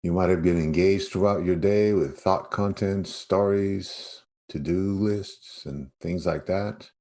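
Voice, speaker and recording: A man leading a guided meditation as a yoga nidra teacher, speaking in an unhurried way with spacious pauses. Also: low-pitched, mellow.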